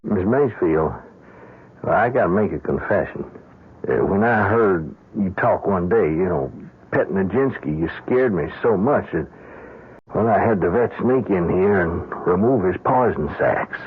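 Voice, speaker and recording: An actor playing an apologetic, puzzled working man with a husky voice in an old-time radio drama, on a tinny recording. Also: deep voice, lively intonation, measured pace, full character voice. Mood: hesitant, puzzled. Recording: tinny band-limited off-air radio recording.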